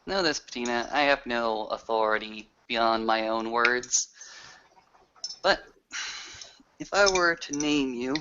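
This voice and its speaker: Bright-voiced man in formal roleplay. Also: medium pitch, slow, pauses often. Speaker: tabletop roleplaying player. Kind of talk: improvised in-character roleplay speech. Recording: lo-fi with faint noise.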